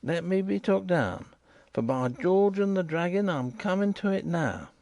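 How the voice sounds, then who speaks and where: A man's voice: high voice, expressive, unhurried, darkish tone, no room sound, studio quality, voice pushed up. A man voicing a shifty, indignant riverside informer, insistent and repetitive.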